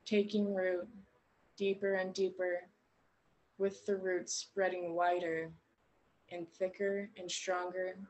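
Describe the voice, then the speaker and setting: A woman guiding a meditation in a gentle, unhurried way, leaving long pauses between short phrases. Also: fairly low pitch, little pitch movement, rough recording. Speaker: meditation guide. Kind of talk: guided meditation narration.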